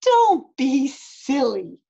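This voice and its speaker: A woman plays a reassuring picture-book mom, breezily dismissive of worries. The delivery is singsong and animated, with very drawn-out phrasing. The sound is slightly dull. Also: high voice, vocal fry, pitched above the performer's own voice, full character voice. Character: brisk, reassuring mother, dismissive of her child's fears. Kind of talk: children's picture-book read-aloud.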